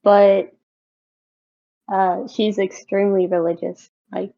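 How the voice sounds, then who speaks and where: A woman's voice: mid-range voice, flat delivery, slow pace, gravelly, mellow. A young woman co-hosting a podcast in casual, conversational talk. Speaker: podcast co-host. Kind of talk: casual chat. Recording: dull lo-fi audio.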